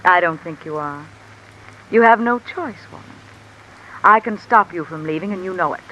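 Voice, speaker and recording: A woman's voice: fairly low pitch, moderate pace, dull audio. A woman playing a calculating, discontented wife with an insinuating, controlled manner in 1950s radio mystery style. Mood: commanding, threatening. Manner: insinuating, controlled, coolly commanding. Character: cool, calculating, discontented wife blackmailing a man. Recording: old radio recording with steady rain noise. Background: rain falling.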